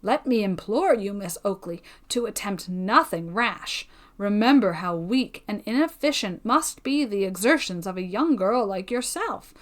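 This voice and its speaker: A woman reading a storybook voices a devoted, cautious gentleman suitor who pleads in formal period speech. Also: mid-range voice, lightly acted. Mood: alarmed, imploring.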